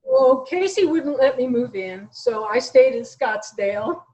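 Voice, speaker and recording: A woman's voice: high-pitched, sing-song, unhurried, darkish tone. American woman in her sixties, slightly dull recording. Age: 60s. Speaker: guest telling her fitness story. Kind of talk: conversational interview storytelling.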